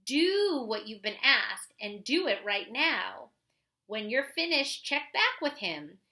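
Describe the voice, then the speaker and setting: A woman reading aloud and explaining to children as a teacher, instructive, deliberate and expressive. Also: on the high side.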